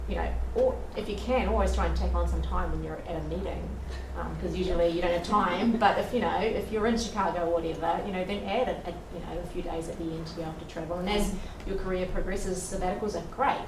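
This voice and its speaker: Woman, panelist, earnest, conversational, lowish voice, distant, echoey hall. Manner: earnest, conversational, many "you know" fillers. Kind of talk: panel discussion answer. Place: lecture room at an evening event. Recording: roomy hall from afar with noise.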